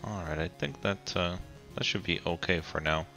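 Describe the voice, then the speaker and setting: Deep, raspy man with a cold. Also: faint music behind. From Colorado. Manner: relaxed, halting, frequent ums and restarts. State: getting over a cold. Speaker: game commentator. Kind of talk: casual gameplay commentary.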